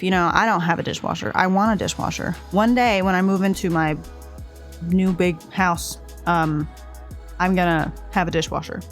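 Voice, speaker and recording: A woman casually narrating a speed build of her game house, a little stuffed up from allergies, rambling and hesitant. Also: lowish voice. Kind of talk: casual voice-over commentary. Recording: voice-over with faint music behind.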